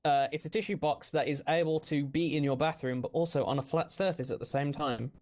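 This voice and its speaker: A man's voice: fairly high pitch, fairly even intonation, a little fast, darkish tone. A man co-hosting a podcast, casually presenting crowdfunding projects to the group. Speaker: podcast co-host.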